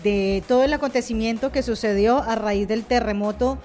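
A Colombian woman hosting a live radio show and giving news updates in a halting, hesitant way with drawn-out pauses. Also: fairly high pitch, fairly even intonation, darkish tone. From Colombia, Cali. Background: music behind the voice.

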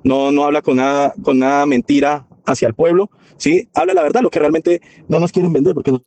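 A man giving a spontaneous opinion as a radio listener, earnest and halting, repeating words as he goes. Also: a little high, little pitch movement, warm tone, no room sound.

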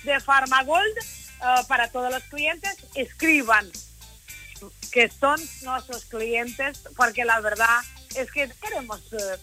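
A woman with a Portuguese accent, her voice high and ringing with forward brightness. She is a native Portuguese speaker from Lisbon. She pitches a giveaway live on radio like a brand spokesperson, effusive and affectionate, with faint music playing underneath. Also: slow pace, rough recording.

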